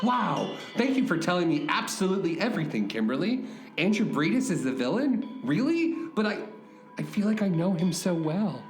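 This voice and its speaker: A woman playing an excitable, chatty, naive young man held captive in a comedic audio drama. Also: fairly low pitch, normal speed. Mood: excited, amazed. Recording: echoey, loud music underneath.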